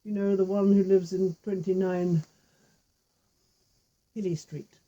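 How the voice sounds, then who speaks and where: A woman voicing a sly male informer in a folk tale, measured and insinuating. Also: medium pitch, little pitch movement, mellow, muffled recording.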